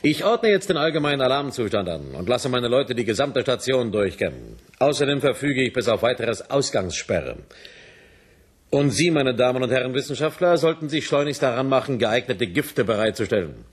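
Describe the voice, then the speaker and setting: An actor playing a stern, proud veteran army major in a radio drama, with a husky voice and a clipped military manner. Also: male voice, medium pitch, sing-song, moderate pace. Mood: resolute, commanding. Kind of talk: German science fiction radio drama acting.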